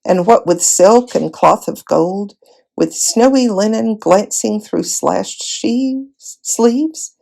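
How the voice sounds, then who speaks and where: A woman reading a children's fantasy novel aloud as an expressive storybook narrator. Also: mid-range voice, gravelly. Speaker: volunteer storybook reader.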